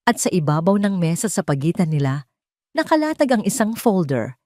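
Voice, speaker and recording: A woman with a Filipino accent tells a scripted drama as its narrator. Her pitch sits in a narrow, low band for a woman, and the sound is very dry. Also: sing-song, normal speed, warm tone, no echo. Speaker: audio story narrator.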